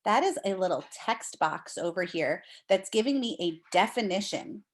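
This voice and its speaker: Woman teacher with very lively pitch. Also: normal speed. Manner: simple explanatory teaching to young children, with check-in questions. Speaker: elementary teacher reading aloud. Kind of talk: children's read-aloud lesson with explanations.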